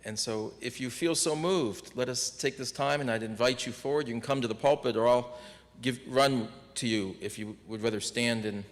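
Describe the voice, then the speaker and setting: A man leading a memorial service as minister, gently inviting people to share, with small restarts. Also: mid-range voice, moderate pace. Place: church sanctuary. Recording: church with long reverb.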